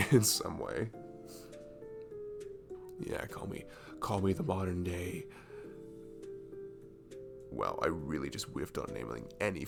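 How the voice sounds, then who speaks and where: A man playing a sweet, goofy, comforting barista in an intimate ASMR roleplay, speaking softly and haltingly with a faint Southern US accent. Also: deep voice, vocal fry, exaggerated voice. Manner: soft, halting, chuckling, drifting into near-whispers. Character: cute, goofy, comforting young male barista, sweet and self-deprecating.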